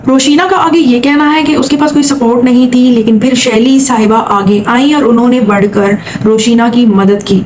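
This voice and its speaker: Woman, vlog host, higher-pitched voice, commentary and retelling, faint noise behind. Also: darkish tone, rough recording. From Saudi Arabia. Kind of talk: commentary retelling an interviewee's account.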